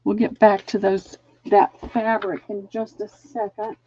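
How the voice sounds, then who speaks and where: A woman's voice: mid-range voice, little pitch movement, a little slow, warm tone, a little hiss or hum. A middle-aged woman from Georgia with a Southern accent, hosting a casual live sale and chatting folksily as she shows and measures fabric. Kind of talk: casual live-stream selling. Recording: dull, dry lo-fi stream audio.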